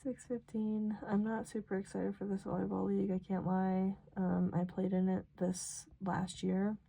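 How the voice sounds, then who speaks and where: A woman's voice: mid-range voice, little pitch movement, moderate pace, vocal fry, warm tone, husky, poor audio. A young American woman chatting casually and ramblingly as she works on her planner, informal and self-deprecating, sometimes murmuring to herself. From rural South Dakota, US. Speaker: planner vlogger.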